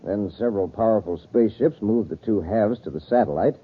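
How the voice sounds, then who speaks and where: A man's voice: fairly low pitch, fairly quick, slightly rough. An actor playing an earnest, courteous male scientist who explains things precisely in an old-time radio drama. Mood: explaining, courteous. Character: earnest male scientist, a doctor running a space project. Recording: muffled, thin vintage radio recording.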